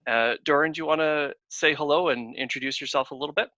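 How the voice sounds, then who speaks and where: A man hosting a casual webinar over a video call, talking in a halting way with many fillers and restarts. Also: fairly high pitch, sharp tone, no echo. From Canada. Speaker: webinar host, consulting firm founder. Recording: dull lo-fi video call audio.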